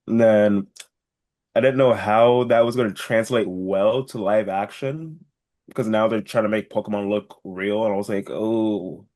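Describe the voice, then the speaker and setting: A man's voice: lowish voice, fairly even intonation, measured pace, a little mellow. A young man co-hosting a casual podcast, speaking in a blunt, informal, slangy way.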